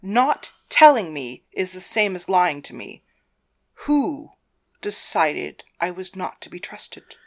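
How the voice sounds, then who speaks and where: An audiobook wife acted by a woman who rules her husband with a firm hand. Her voice sits a little low, and she speaks sternly, issuing demands as someone used to control. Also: sing-song, slow pace, full character voice. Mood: demanding, hurt. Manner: commanding, stern. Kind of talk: audiobook character dialogue.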